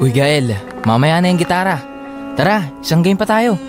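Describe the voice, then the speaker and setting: An actor plays a young male sidekick in an audio drama. He is jokey and slangy, forever needling his pals. Music is clearly heard behind the voice. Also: on the high side, animated, normal speed, natural voice. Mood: coaxing. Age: young adult. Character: playful, nagging young male buddy.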